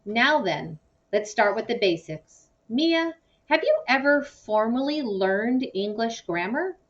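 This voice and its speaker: A brisk woman schoolteacher from a children's storybook, put on by a woman reader. The voice is pitched up and slightly distant from the microphone. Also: animated, lo-fi sound.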